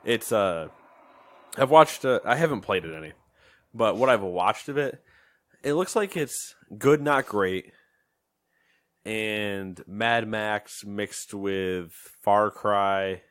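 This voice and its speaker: A man's voice: lowish voice, animated, slow pace, fairly bright tone, clear, resonant tone, no room sound, clean studio sound. A man co-hosting a gaming podcast in casual chat, rambling and joking, often trailing off into mumbles. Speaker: podcast co-host.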